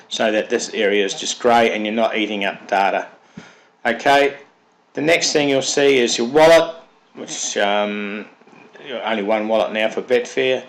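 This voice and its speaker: A man's voice: mid-range voice, poor audio. Man with a froggy cold voice. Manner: methodical, step-by-step explaining. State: has a cold, sounds froggy. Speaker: software developer presenting his product. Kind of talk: screen-recorded software walkthrough.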